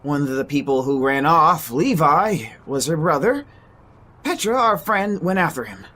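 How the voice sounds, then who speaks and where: A woman playing a laid-back, cheeky young friend in an audio drama, with a husky voice. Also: low-pitched, lively intonation, normal speed, a bit bright. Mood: easygoing.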